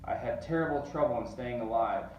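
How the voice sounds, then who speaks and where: A man reading a rhyming children's story aloud in an expressive, narrating way. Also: medium pitch, fairly quick, a little hiss or hum. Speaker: storybook reader. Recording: roomy, distant, dull sound.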